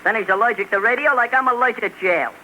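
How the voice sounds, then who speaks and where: A cocky young street tough in a 1940s radio comedy, talking in bad-grammar slang. His voice sits very high, and the old broadcast hisses with noise behind him. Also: fast talker, sharp tone, exaggerated voice, rough recording. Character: brash young male street tough, dim-witted wisecracker.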